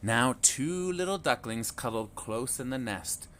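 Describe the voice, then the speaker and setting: A man reading a picture book aloud to children in a slow, clear, expressive storytelling manner. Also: mid-range voice, a little hiss or hum.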